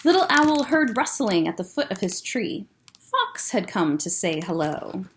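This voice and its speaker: A woman who is a children's librarian, reading a picture book aloud to children in a gentle, expressive way and pointing out the pictures. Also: mid-range voice, a little slow, slight background noise.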